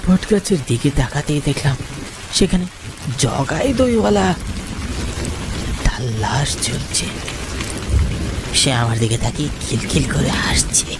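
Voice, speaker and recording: A man's voice: on the high side, big pitch swings, measured pace, pauses often. A man narrating a first-person horror story for a cartoon in a dramatic style. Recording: rain and thunder noise.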